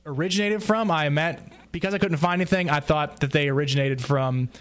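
A man hosting a casual podcast roundtable, speaking in a halting, self-deprecating way. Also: a little high, fairly bright tone. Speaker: podcast host recapping an audiodrama.

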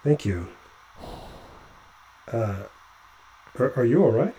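A man's voice: mid-range voice, very animated, dark tone, a little hiss or hum, low-quality recording. A man playing a nervous stammering doctor. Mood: anxious. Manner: halting, stammering restarts. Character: nervous chatty male doctor climbing a ship hull. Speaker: physician on a spacewalk. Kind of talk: audio drama acting.

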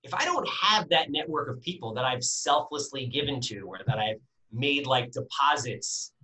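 A man in his forties with a bright, forceful voice, talking as a guest in a relaxed interview. He tells his stories energetically and candidly, with plenty of fillers and the odd swear. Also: mid-range voice, normal speed, low-quality recording. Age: 40s. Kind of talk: casual podcast interview.

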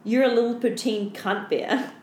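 A cringey, volatile boyfriend played by a woman reads his texts out loud. The baby talk and crude insults are delivered as a podcast bit, in a roomy, echoing space with clear background noise. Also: on the high side, steady tone, normal speed, natural voice. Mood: spiteful. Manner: babyish pet names mixed with crude insults. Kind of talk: dramatic read-out of text messages.

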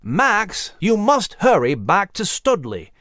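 Man doing an urgent, proper gentlemanly bird. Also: high voice, huge pitch swings, takes it slowly, no room sound, clean studio sound, voice pushed up, exaggerated voice. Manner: formal, old-fashioned, urging.

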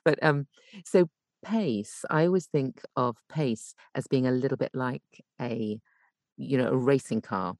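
A woman with a low voice explains technique as a voice coach on a podcast. She thinks aloud, repeating and rewording her phrases. The sound is dry, with very little room in it. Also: measured pace, a little mellow, no room sound. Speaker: guest voice coach and voice actor.

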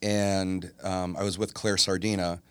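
A man in his forties with a very low, deep voice talks loosely and easily, with plenty of ums and restarts. His voice sits close to the mic with a dry sound and almost no room around it. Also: measured pace, smooth, no echo. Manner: relaxed, rambling, many fillers. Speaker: guest, tribute singer. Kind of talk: casual interview chat. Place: studio.

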